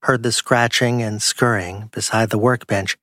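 A man's voice: lowish voice, fairly even intonation, measured pace, pushed and bright, no echo. Dry-sounding man narrating crime fiction. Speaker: audiobook narrator.

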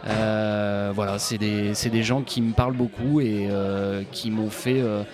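A man, a guest musician, answering interview questions in a hesitant way full of pauses and restarts, at a folk club bar. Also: on the low side, level tone, over music. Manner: hesitant, many fillers and restarts. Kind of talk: casual interview. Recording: live bar recording with crowd chatter. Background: crowd chatter.

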